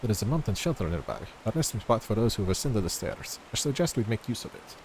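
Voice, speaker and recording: A man voicing a calm, measured, supportive adventurer in a fantasy game. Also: lowish voice, a little hiss or hum, pitched below the performer's own voice, poor audio.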